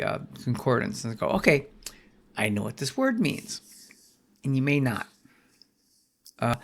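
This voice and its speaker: An older man with a gravelly, creaky voice walks through a Bible study lesson in a relaxed, folksy way. He often restarts his phrases and tosses in "right?" as he goes. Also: mid-range voice. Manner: folksy, rambling, with many restarts and "right?" checks. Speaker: Bible teacher.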